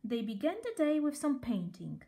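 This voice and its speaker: A woman reading a children's story aloud as an expressive storyteller. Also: fairly high pitch, normal speed, creaky.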